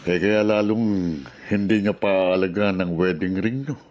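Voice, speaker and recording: Deep-voiced sympathetic male confidant. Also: unhurried, a little mellow, muffled recording. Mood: concerned. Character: sympathetic, helpful male confidant of a wronged wife. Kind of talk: radio drama acting.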